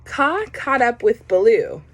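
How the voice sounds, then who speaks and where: A woman reading a children's picture book aloud, pausing to comment on the pictures. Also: on the high side, very animated. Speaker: storybook reader.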